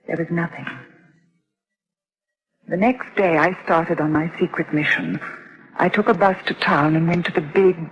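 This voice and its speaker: A woman's voice: lowish voice, slight background noise, lightly acted. An actress playing an anxious, earnest mother in a radio drama, well-spoken and hesitant. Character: anxious, earnest mother narrating an eerie tale. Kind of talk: radio drama narration and dialogue. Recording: dull, lo-fi radio recording.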